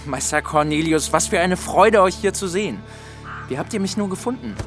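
An actor playing a young, earnest, well-mannered prince in a German children's audio drama. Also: male voice, high voice, fairly quick, faint music behind. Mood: delighted.

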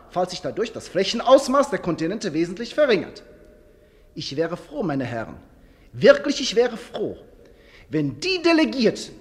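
A man's voice: high-pitched, a bit bright. An actor playing a suave, menacing male legal advocate, giving a persuasive formal oration in a radio play. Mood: earnest warning. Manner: rhetorical, persuasive, veiled menace.

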